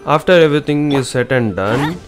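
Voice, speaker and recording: A man's voice: on the high side, lively intonation, a little fast. A man narrating a game walkthrough as an informal, instructional gaming channel host. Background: game music.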